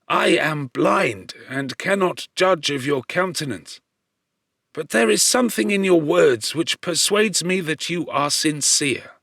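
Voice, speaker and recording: Man acting a kindly, high-voiced old blind man. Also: gravelly, dry recording, studio quality, heavily acted. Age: older. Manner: gentle, earnest, courteous. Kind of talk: audiobook character voice.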